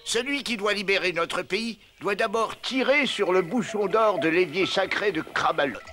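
A man's voice: sits high, moderate pace, bright, forceful tone, faint music behind. A man voicing a fussy, theatrical old bearded wizard in a cartoon dub. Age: older. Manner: theatrical, pompous.

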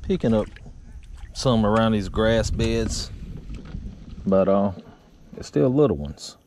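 A middle-aged man, chatting casually in a relaxed drawl while fishing from a small boat on a river. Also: on the low side, slow pace, slight background noise. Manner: relaxed drawl with frequent uh fillers. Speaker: fishing vlogger. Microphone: action camera on the boat.

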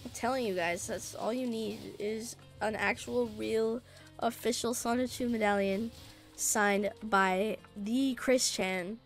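A raspy, under-the-weather young woman chats through a game stream in a high voice, taking her time over her words. She hums little la-la tunes, curses at her keyboard and teases her chat. Faint game music plays underneath. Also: steady tone, slow pace. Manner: sings la-la to herself, swears, jokes with chat. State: sick, raspy voice. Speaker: VTuber streamer.

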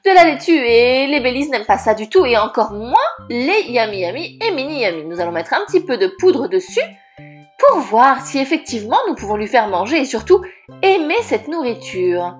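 A woman voicing a gentle grown-up fairy who speaks slowly and softly. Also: a little high, very animated, soft background music. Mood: calm.

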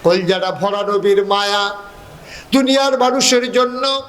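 A man with a Bangladeshi accent preaching an impassioned Islamic sermon, telling a religious story in an emotive, half-chanted way with drawn-out phrases. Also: sits high, fairly even intonation, fairly bright tone.

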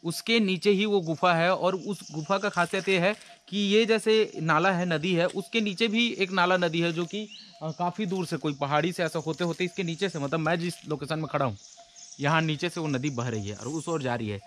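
Outdoor travel vlogger man in his thirties, lively, talks straight to camera, a bit high-pitched, noisy open-air recording. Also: normal speed. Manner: lively, addresses viewers directly as friends. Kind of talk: casual travel vlog narration. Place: outdoors in a dry forest, riding pillion and hiking. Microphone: action camera on a bike and handheld. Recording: outdoor wind and ambient noise. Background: forest ambience and motorbike noise.

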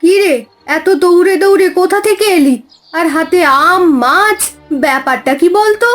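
Anxious village mum for a cartoon female actor: piercingly high pitch. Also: lively intonation, moderate pace, soft background music. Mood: questioning. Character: anxious village mother of a naughty boy. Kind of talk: cartoon voice acting.

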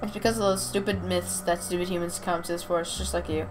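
A woman's voice: on the low side, flat delivery, quick pace, noisy background, close to an everyday voice. Haughty young witch cat-girl, read by a woman. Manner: haughty, scolding.

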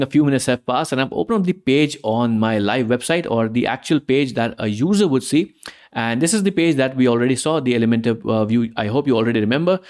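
A young man whose first language is Hindi, presenting a screen-recorded software tutorial in a casual, explanatory way with frequent fillers and restarts. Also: mid-range voice.

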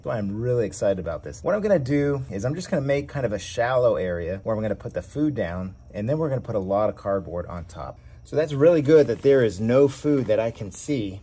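Husky-voiced man explaining a hands-on project. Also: lowish voice. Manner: relaxed, explains each step as he works. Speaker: worm farming channel host. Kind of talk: casual how-to demonstration. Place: outdoor covered back porch.

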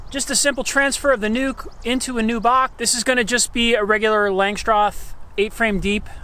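High-voiced man narrating his beekeeping outdoors. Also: smooth. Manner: talks to himself while working, calm. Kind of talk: casual hands-on narration. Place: outdoor farm apiary.

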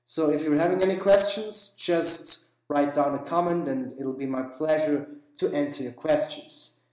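A man, not an English speaker, talking through a screen-recorded photography software tutorial in a relaxed way with many fillers. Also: on the high side, normal speed, echoey room, low-quality recording. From Switzerland. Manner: relaxed, explanatory, with frequent fillers.

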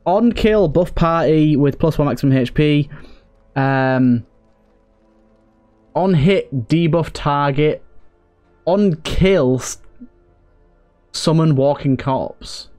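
A man's voice: on the high side, a little mellow. A man hosting a let's play, chatting casually and thinking aloud as he goes. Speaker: gaming video host.

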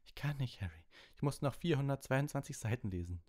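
Man putting on a high-pitched, overwrought schoolgirl. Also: expressive, fairly quick, a little mellow, lightly acted. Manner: high-strung, prim. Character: studious, overwrought teenage schoolgirl.

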